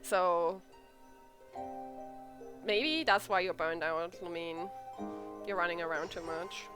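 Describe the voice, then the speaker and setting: A woman's voice: on the low side, slow, music in the background. A woman streaming a game with casual, informal commentary, joking and blunt.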